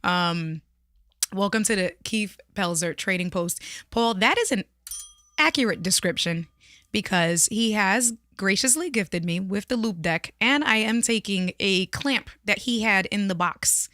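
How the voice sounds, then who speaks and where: Woman live streamer; bright, dry close sound; playful, laughing banter. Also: medium pitch, lively intonation, unhurried, no room sound. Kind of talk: casual chat on a livestream. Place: friend's home studio.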